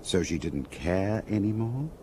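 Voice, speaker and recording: An actor playing an urbane older gentleman in a radio drama, musing in a wry, measured way. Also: low voice, big pitch swings, a little hiss or hum.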